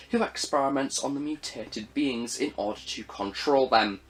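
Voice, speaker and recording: A young man narrates a scripted review of audio dramas at a medium pitch. His phrasing is formal and deliberate, with frequent emphasis on key words. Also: a bit bright.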